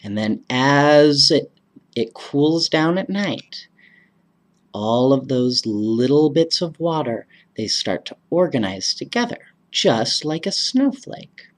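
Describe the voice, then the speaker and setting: A bedtime story reader narrating in an animated storybook style, with chatty asides to children. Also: medium pitch, slow.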